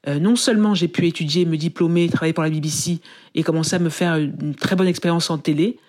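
A woman from France, a podcast guest and author, tells her career story in conversation as an animated storyteller who often pauses and restarts her sentences. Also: sits low.

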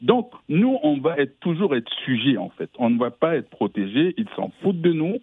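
A man calling in to a radio show, giving his opinion with animated, halting speech and many restarts. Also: fairly high pitch, husky, no room sound. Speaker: radio caller. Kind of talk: phone-in opinion. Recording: tinny phone line.

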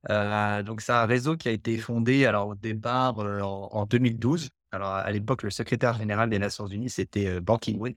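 A man's voice: lowish voice, fairly even intonation, brisk, few pauses, no room sound, studio quality. A man from Quebec, a podcast guest who speaks with many hesitations and restarts. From Canada (Quebec). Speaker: guest, development researcher. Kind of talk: podcast interview.

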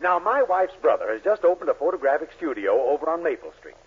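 A pompous, avuncular, tight-fisted shopkeeper in a 1940s radio comedy. His fairly high voice swoops dramatically up and down. The old recording is muffled. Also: big pitch swings, fast, slightly rough, heavily acted. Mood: smooth, persuasive. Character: pompous, tight-fisted male drugstore owner and boss. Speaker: sitcom actor.

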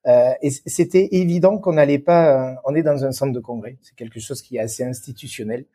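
A mellow-voiced man with a regional French accent, answering as a guest on a business podcast, circling back over his phrases as he searches for words. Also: medium pitch, a little fast. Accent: French, non-Lyon regional. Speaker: interview guest, event venue professional. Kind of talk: conversational explanation in an interview.

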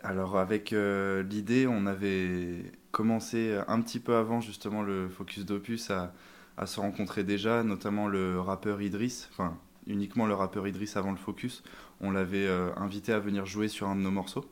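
A young man speaks in a strikingly deep voice, pausing often and restarting his sentences. He talks casually in an interview about his band and his instrument. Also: little pitch movement. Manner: hesitant, many fillers and restarts. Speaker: band member, sousaphone player. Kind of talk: interview conversation.

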